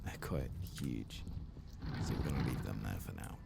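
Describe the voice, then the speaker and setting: A man with an extremely low, gravelly voice speaks softly and often drops into a whisper. He murmurs his way through a game like an ASMR host, unhurried and talking to himself. Loud steady noise runs behind him. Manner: hushed, unhurried, muttering to himself. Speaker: streamer and host. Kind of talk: ASMR soft-spoken and whispered gaming commentary.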